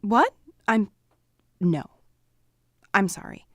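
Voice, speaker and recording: A woman playing a distracted, strained wife in radio play dialogue, halting and uneasy. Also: mid-range voice, expressive, a bit bright, exaggerated voice. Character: distracted, strained wife arguing with her husband.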